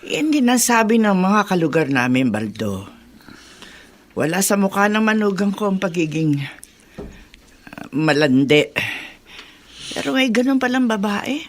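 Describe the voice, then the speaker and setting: A woman playing an indignant, gossipy old grandmother in a radio drama, with a creaky, agitated way of talking. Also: medium pitch, sing-song, unhurried, pauses often, a little hiss or hum. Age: older. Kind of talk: Tagalog radio drama acting.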